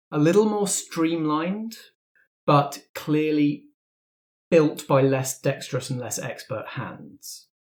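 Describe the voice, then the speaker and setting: A man narrating a tabletop roleplaying game as game master, in deliberate, descriptive phrases. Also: medium pitch.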